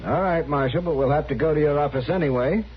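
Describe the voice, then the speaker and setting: Pompous, officious gentleman, muffled radio. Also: on the high side, quick pace, breathy edge. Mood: officious, impatient. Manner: prim, pompous, insistent. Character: officious, demanding, self-assured brother-in-law, adult man. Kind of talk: old-time radio western acting. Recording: muffled, noisy old radio recording.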